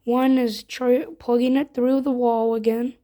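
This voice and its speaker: Female product reviewer, higher-pitched, informal, walking through features step by step in a casual demo. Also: level tone, a little slow, mellow.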